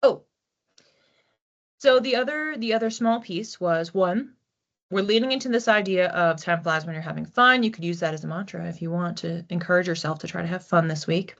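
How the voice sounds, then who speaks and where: Low-voiced woman giving a chatty card reading. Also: fairly quick, gravelly. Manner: chatty, thinking aloud with restarts and soft laughs. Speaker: psychic card reader. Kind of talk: relaxed solo oracle reading. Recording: slightly dull lo-fi audio.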